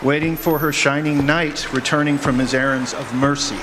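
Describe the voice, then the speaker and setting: An actor playing a solemn, gentle, mysterious doctor in a radio drama, with measured, formal phrasing. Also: male voice, fairly high pitch, steady tone, close to an everyday voice. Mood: gentle, probing. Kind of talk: stage radio drama acting. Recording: noisy live hall, long reverb.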